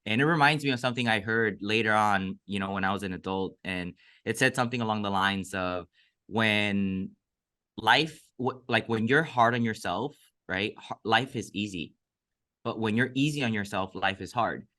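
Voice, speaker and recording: Podcast guest, male, raised in Mexico, native Cantonese speaker, low voice with a forceful edge, earnest and reflective. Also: normal speed. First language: Cantonese (Toisan). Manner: reflective, earnest, many fillers. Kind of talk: casual storytelling interview.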